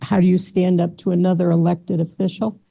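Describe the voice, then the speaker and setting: A woman's voice: fairly low pitch, flat delivery, brisk, creaky, highs cut off. A woman answering questions as a candidate for county clerk, self-assured and full of ums and uhs.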